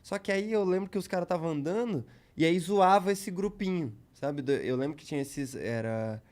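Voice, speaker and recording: A man's voice: a little high, moderate pace, a little mellow. A man with a Brazilian Portuguese accent, a guest in casual podcast chat, telling a long story loosely with slang and many fillers.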